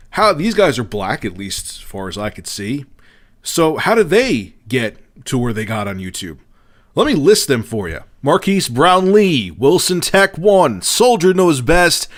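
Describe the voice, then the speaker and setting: A man's voice: mid-range voice, fairly bright tone. A man ranting on his own channel in a heated, profane way, often restarting his sentences. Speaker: YouTuber. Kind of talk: opinion vlog rant.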